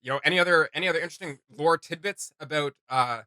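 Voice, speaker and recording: Male reaction host, higher-pitched and very bright, excitable slangy delivery, close dry sound. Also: quick pace, no room sound. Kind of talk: casual, enthusiastic reaction commentary.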